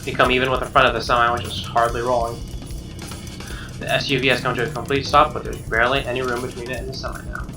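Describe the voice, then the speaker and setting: A man reading a visual novel aloud as dramatic, expressive narration, with game music behind him. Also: mid-range voice, fast. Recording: slightly distant mic under game music.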